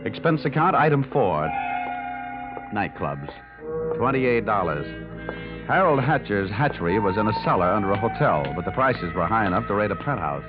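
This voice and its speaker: Low-voiced wisecracking American radio detective. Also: male voice, sing-song, moderate pace. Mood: dry narration. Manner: wisecracking, sardonic. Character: wry hard-boiled male insurance investigator. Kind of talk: 1940s-50s radio detective drama, narration and dialogue. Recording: dull vintage radio recording with music.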